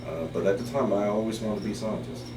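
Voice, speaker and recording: A middle-aged professor from Taiwan, Chinese his first language, recounts his life in a hesitant, filler-laden way. His voice sits very low. The recording is echoey and distant, with a steady hiss. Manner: hesitant, many fillers, unhurried. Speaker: biology professor. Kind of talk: interview storytelling. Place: office. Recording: roomy, off-mic, with background hiss.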